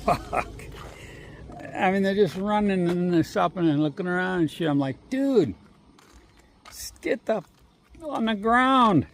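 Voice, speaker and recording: Man in a rambling walk-and-talk vlog: unhurried and profane, with a rough, crackly voice, recorded on a phone. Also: high-pitched, animated. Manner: rambling, profane, drawn-out pauses. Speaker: vlogger. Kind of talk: casual walking monologue. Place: outdoors on a walk. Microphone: handheld phone.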